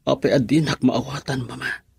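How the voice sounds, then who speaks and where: A man's voice: mid-range voice, steady tone, moderate pace, creaky, slightly rough, no room sound, full character voice. A man with an Ilocano accent playing a heartbroken young husband in a radio drama. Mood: pleading.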